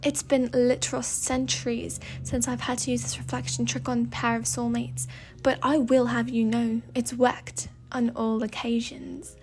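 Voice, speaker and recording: A woman's voice: a little high, level tone, moderate pace, darkish tone, soft background music, close to an everyday voice. A woman voicing a playful, pleading spirit in a mirror, with a British accent and a theatrical, whiny manner, in a story reading. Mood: exasperated.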